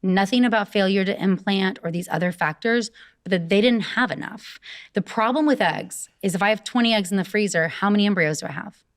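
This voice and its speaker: A woman fertility doctor explaining clearly in an interview, walking through the numbers step by step. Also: medium pitch, fairly quick, no echo, clean studio sound.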